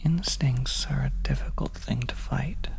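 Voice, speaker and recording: Whispery slow horror asmr storyteller, lots of pauses, kinda creaky, talking right to the listener. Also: medium pitch. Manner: slow, hushed, deliberate delivery with long pauses. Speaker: audio storyteller.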